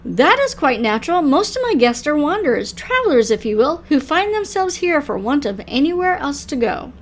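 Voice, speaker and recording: High-pitched, courteous mysterious librarian played by a woman. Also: big pitch swings, moderate pace, a little hiss or hum. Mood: courteous, inviting. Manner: formal, polite, wistful phrasing. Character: mysterious, courteous female keeper of an otherworldly library. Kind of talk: visual novel character read aloud.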